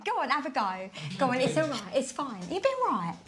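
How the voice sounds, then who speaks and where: A woman's voice: sits high, big pitch swings, fast talker, a crowd in the background. A woman hosting a dinner party, chatting in a bubbly, giggly way. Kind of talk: casual dinner table chat.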